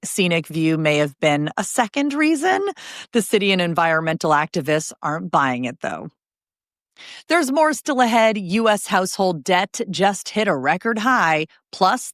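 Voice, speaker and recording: A woman in her thirties hosting a news podcast, reading scripted headlines in a bright, conversational way with light asides. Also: medium pitch, no room sound, studio quality.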